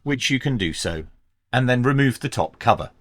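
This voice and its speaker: Male reviewer, methodical and explanatory, medium pitch with lively inflection, dry recording. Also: moderate pace, dry recording. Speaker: radio reviewer presenting a video. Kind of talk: hands-on product review commentary.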